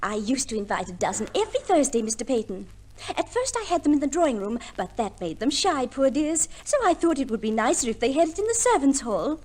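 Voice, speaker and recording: Very high-voiced, vain society wife. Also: female voice, very animated, fairly quick, a little mellow. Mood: gushing, self-satisfied. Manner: affected, gushing, dramatic. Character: vain, theatrical, self-pitying young society wife. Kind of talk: 1940s-50s radio play acting.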